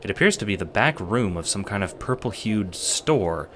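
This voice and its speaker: A man narrates an audiobook-style drama in a deep, low voice. His delivery is scripted storytelling addressed to the listener, over faint noise and ambience. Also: lively intonation, moderate pace, fairly bright tone.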